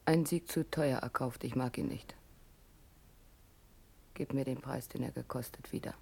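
An actress playing a stricken but commanding noble mother, speaking in a faint, halting, dignified husky voice in a radio drama. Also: extremely low pitch, mellow, exaggerated voice. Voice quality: husky, breathy. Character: grieving noble older sovereign lady, stricken, commanding mother. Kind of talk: classical German radio drama.

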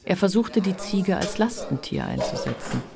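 A woman's voice: mid-range voice, wildly swooping pitch, normal speed, creaky, over music. A woman narrating a radio play in a literary, scripted style.

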